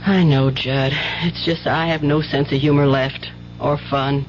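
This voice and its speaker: A woman's voice: rumbling low, fairly even intonation, measured pace. An actress playing a weary, exasperated mother in a 1970s radio drama, with blunt, folksy country talk. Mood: weary, bitter. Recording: muffled, hissy 1970s radio recording.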